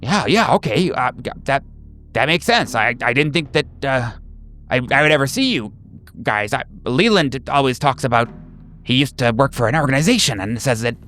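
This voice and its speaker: A man's voice: medium pitch, animated, normal speed, fairly bright tone, faint music behind, pitched above the performer's own voice, close to an everyday voice. A man voicing a nervous, eager male patient in a horror tabletop game, stammering and sounding congested. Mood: flustered, nervous. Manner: stammering, repeating himself.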